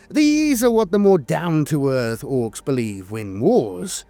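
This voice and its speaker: A man's voice: fairly high pitch, no echo. A man narrating a scripted piece of fantasy lore in a dramatic storytelling manner.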